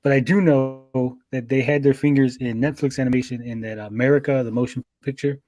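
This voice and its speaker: A man chatting casually on a panel in a blunt, halting, sweary way. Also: mid-range voice, fairly even intonation, a little mellow, no room sound. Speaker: podcast panelist. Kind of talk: casual chat.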